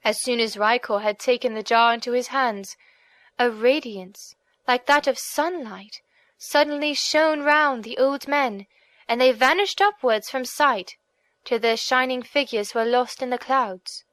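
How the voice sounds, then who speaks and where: A woman reading scripted audiobook narration in an expressive, formal storytelling manner. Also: on the high side, measured pace, dry recording.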